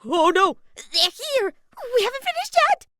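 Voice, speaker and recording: A woman's voice: very high-pitched, very animated, a little slow, a bit bright, no echo, studio quality, pitched above the performer's own voice, heavily acted. A woman voicing a nervous, sheepish male playing-card soldier in a storybook tale, with a flustered, stammering delivery. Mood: panicked.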